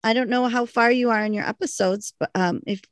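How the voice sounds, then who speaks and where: A woman's voice: fairly high pitch, brisk, no echo, clean studio sound. A woman co-hosting a podcast in casual chat, reading out a listener's email and joking with her co-host. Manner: laid-back, laughing, self-teasing.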